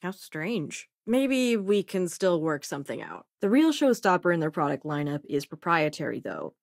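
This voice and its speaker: A woman's voice: lowish voice, big pitch swings, normal speed, vocal fry, no room sound, clean studio sound. A woman playing a sassy, self-assured young scientist and smuggler companion in a space video game, with a wry, casual manner. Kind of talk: acted video game dialogue lines.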